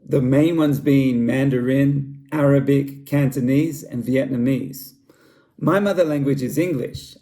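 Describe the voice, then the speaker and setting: A middle-aged Australian man giving a friendly, measured talk to students about his country as a school library director. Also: medium pitch, gravelly, mellow. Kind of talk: scripted cultural presentation for students.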